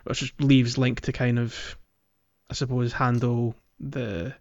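A man co-hosting a casual gaming podcast, talking haltingly and thinking aloud as he goes. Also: medium pitch, flat delivery, a little mellow, lo-fi sound. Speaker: podcast co-host.

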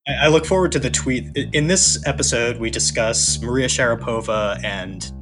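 A man's voice: mid-range voice, moderate pace, over music. A man co-hosting a podcast in casual chat, opinionated and emphatic in how he puts things. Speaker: podcast co-host.

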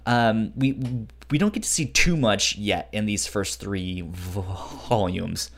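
A man co-hosting a podcast in casual chat, halting and repeating words while searching for phrasing. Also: on the low side, vocal fry. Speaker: podcast co-host. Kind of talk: casual chat reviewing manga.

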